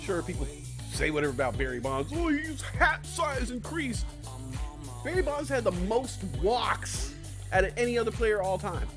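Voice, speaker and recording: Young male stream host, lively voice with faint music underneath. Swears a lot and rambles, swinging from low mumbles to loud shouts. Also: moderate pace. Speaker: livestream host. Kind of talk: casual live stream chat.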